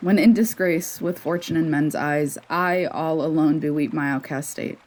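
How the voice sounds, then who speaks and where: A woman's voice: deep voice, normal speed, darkish tone, natural voice, low-quality recording. A woman performing a Shakespeare sonnet as the monologue of a lonely outcast consoled by love. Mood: despondent. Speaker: student drama performer.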